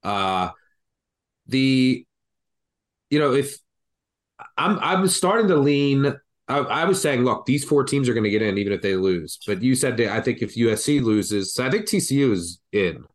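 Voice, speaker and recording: A man's voice: medium pitch, expressive, moderate pace. A man with a congested, stuffed-up voice from the flu, rambling through college football betting picks as a podcast co-host. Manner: rambling, thinks aloud with restarts. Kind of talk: casual betting analysis chat.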